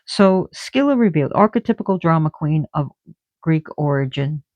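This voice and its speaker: A woman giving a casual spiritual talk about myth and oracle cards, halting often and restarting as she searches for words. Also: sits low, animated, dry recording, lo-fi sound. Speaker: oracle card reader.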